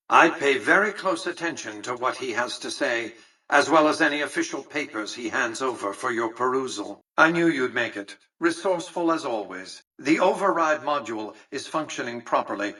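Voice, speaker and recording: An imperious elderly tycoon from a video game. His voice is forceful and bright with a husky grain, set slightly away from the mic. He speaks formally and precisely, with cold, condescending superiority. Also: medium pitch, animated, normal speed, far from the mic, rough recording. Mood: smooth, superior, then smugly satisfied. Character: cold, imperious old male tycoon ruling a casino city. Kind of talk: scripted video game character dialogue.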